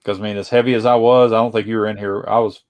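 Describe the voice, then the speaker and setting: A man's voice: on the low side, level tone, racing, lo-fi sound. A man in his mid-forties chatting easily and agreeably in a group call, with many fillers and little words of assent. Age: 40s. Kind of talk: casual group chat.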